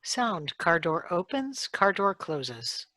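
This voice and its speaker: A woman's voice: on the low side, no echo. A woman reading out the sound effect cues for a radio drama performed over a video call. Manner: slow, flat cue announcements.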